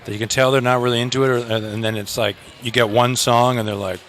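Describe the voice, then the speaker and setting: A man's voice: mid-range voice, little pitch movement, quick pace, fairly bright tone. A man in a rock band chatting casually in an outdoor festival interview, joking and rambling with restarts.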